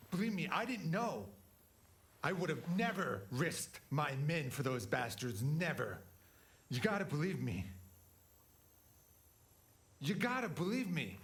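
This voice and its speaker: An actor playing a defensive, rough-talking gang boss in a video game cutscene, emphatic and protesting. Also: male voice, fairly high pitch, a bit bright.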